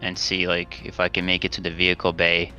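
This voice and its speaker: A man talking casually as a tabletop game player, tentatively thinking his moves out loud, with music behind him. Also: low-pitched, monotone, brisk, rough recording.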